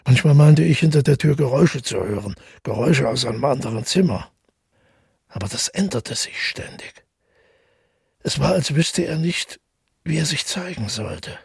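An actor playing a withdrawn, middle-aged widower who tells his story in a radio drama, husky and gravelly, reflective and measured. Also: medium pitch, expressive, darkish tone, no echo, clean studio sound, exaggerated voice. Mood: hushed, uneasy. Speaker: narrator and protagonist. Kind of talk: literary radio drama monologue.

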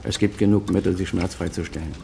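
Proud, defensive older doctor. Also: male voice, low-pitched, steady tone, fast talker, vocal fry, mellow, a little hiss or hum. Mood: firm, dismissive. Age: middle-aged or older, over fifty. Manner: formal, articulate, self-justifying. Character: proud, defensive senior doctor and estranged father. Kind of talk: German radio drama dialogue.

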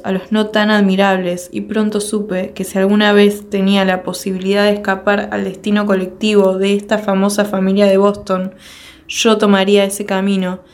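Woman w/ reading a poem out loud, slow and deliberate, lots of big questions. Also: medium pitch. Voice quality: measured, declamatory delivery with rhetorical questions. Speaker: poetry reader. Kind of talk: dramatic poetry recitation.

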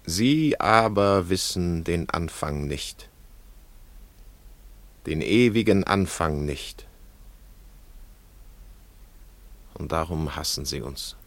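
An actor playing a grave, prophetic man of faith, declaiming poetic verse drama in a deliberate, incantatory way. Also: low voice, expressive, creaky, no room sound, full character voice. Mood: solemn, grave.

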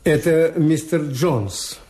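An actor playing a wry, cautious hotel owner who narrates a Soviet radio drama in a measured literary way. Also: male voice, a little high, lively intonation, warm tone, husky, full character voice. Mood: anxious, polite. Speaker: narrator and lead character.

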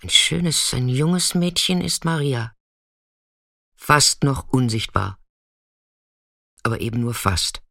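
Guy reading a dark literary story out loud, dramatic and deliberate, medium pitch, really dry sound. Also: lively intonation, vocal fry, no echo. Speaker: narrator and performer of a literary monologue. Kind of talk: dramatic scripted narration, literary stage reading.

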